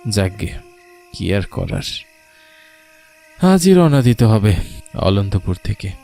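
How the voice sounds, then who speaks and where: A man's voice: on the low side, very animated, takes it slowly, creaky, warm tone, faint music behind, heavily acted. A man voicing a put-upon but dutiful office worker and grandson in a story cartoon. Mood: weary grumbling. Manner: grumbling in monologue, affectionate to elder. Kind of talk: Bengali cartoon voice acting.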